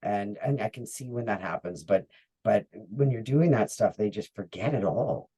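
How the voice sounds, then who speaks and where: A man hosting an interview, chatting in an animated, enthusiastic way with quick asides. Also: lowish voice, husky. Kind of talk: casual interview chat.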